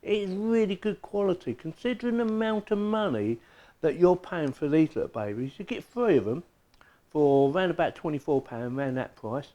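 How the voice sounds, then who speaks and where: A man's voice: a little high, expressive, normal speed, dark tone. A middle-aged man with an English accent, giving a casual, chatty hands-on product review in plain, informal words.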